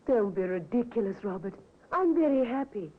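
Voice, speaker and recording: A woman's voice: fairly high pitch, big pitch swings, normal speed. An actress playing an emotional, devoted-seeming young wife in her twenties in a 1950s radio drama, earnest and theatrical. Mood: soothing. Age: 20s. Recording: tinny, hissy old radio recording.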